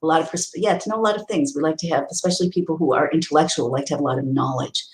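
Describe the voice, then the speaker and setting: A woman with a low voice gives an earnest spiritual lesson, explaining ideas step by step and restarting phrases as she goes. The room around her rings with echo. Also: very fast. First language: English. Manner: earnest, explanatory, with many restarts and Hebrew readings. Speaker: teacher. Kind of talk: spiritual teaching talk.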